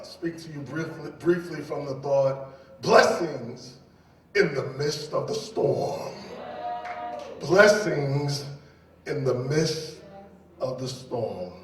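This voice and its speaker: A man from New York City preaching a sermon as pastor, repeating key phrases and speaking straight to the congregation. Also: mid-range voice. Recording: echoey hall, distant mic.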